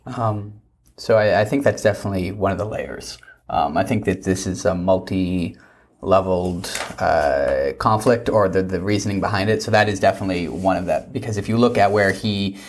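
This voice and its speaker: A young male student on a panel, giving measured, academic explanations. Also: low-pitched. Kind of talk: panel discussion.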